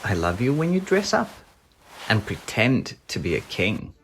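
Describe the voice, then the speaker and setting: Children's read-aloud narrator: gentle, affectionate, very animated intonation, music bed behind. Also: medium pitch, measured pace. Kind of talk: expressive picture book read-aloud for children.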